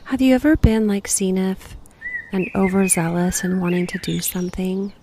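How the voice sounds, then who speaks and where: A woman leads a guided meditation in a medium-pitched voice with noticeable creak. Her delivery is gentle, breathy and unhurried, at times close to a whisper, offering reflective prompts. Birdsong and faint natural noise sit behind her. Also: steady tone.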